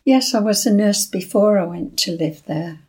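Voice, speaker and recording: An elderly woman, answering a granddaughter's questions in brief replies with soft laughs. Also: medium pitch, moderate pace, slightly rough. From Lancashire, England. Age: 80s. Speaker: guest storyteller. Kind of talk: casual interview chat.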